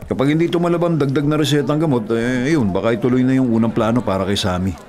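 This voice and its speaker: An actor playing a devoted, burdened eldest son, hesitant and earnest, in a Filipino radio drama. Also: male voice, medium pitch, fast talker, close to an everyday voice. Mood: worried. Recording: loud music bed behind voice.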